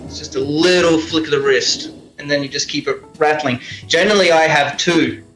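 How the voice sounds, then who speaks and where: A man's voice: fairly high pitch, moderate pace, fairly bright tone, roomy sound, faint music behind, poor audio. A man being interviewed as a sound effects maker, casually and hesitantly explaining and demonstrating how he works. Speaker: radio drama maker and sound effects artist, interview guest.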